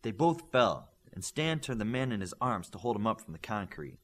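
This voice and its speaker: A man narrating a superhero story as an audiobook, reading from a script with dramatic, deliberate phrasing. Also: lowish voice, very animated.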